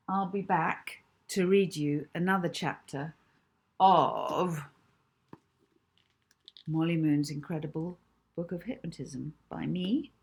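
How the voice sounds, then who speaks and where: Husky woman reading a children's story. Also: on the low side, a little mellow. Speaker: author reading own book. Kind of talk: expressive children's audiobook narration.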